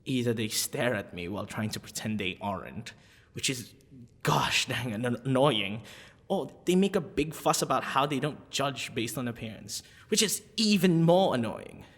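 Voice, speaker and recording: A man playing a smitten, possessive half-dragon librarian in a boyfriend ASMR roleplay, with a halting, stammering, husky delivery. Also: medium pitch, animated, fairly bright tone. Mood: reminiscing, annoyed. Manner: halting, stammering, breathy sighs and nervous laughs. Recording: long reverberant echo.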